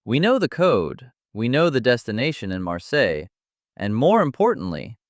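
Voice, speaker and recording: A man playing a confident operative in a mystery drama, delivering threats in a deliberate, emphatic way. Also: medium pitch, big pitch swings, dry recording, natural voice. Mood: confident, threatening.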